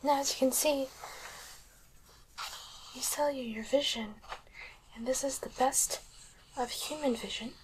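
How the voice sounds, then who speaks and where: A woman playing a calm, courteous demon doctor in a whispered ASMR exam roleplay, giving slow, gentle, attentive instructions in a soft, breathy voice. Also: high-pitched, slight background noise, rough recording. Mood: calm, intrigued. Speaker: ASMR performer.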